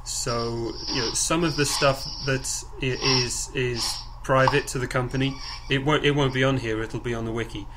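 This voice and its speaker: A man informally presenting to a group on a conference call, haltingly and with repeated words and phrases. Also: medium pitch, steady tone. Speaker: project coordinator leading a group call. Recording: clearly noisy conference call recording.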